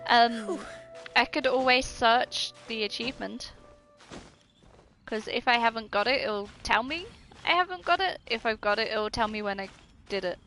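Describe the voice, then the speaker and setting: A woman's voice: on the high side, bright, forceful tone, rough recording. A woman chatting casually and informally as she streams her gameplay, swearing freely. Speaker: game streamer. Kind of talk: casual live gameplay chat.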